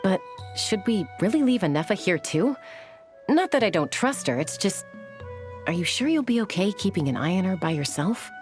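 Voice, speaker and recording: A woman playing a serious, protective and skeptical young scout in a video game, with a measured, guarded manner. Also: medium pitch, sing-song, a little mellow, soft background music, close to an everyday voice. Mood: wary, serious.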